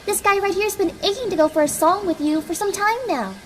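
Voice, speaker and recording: Cheeky, coaxing young girl for a cartoon story, female actor, extremely high, playful, slight background noise. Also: brisk. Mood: upbeat. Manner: playful, persuasive.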